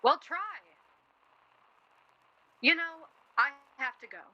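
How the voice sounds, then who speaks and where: A woman's voice: sits high, slow, sharp tone, lo-fi sound, full character voice. An actress playing a guarded, bitter and blunt young woman in a naturalistic radio play, arguing her points plainly. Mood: serious, pressing. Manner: blunt, argumentative. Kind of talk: radio play dialogue, naturalistic period drama.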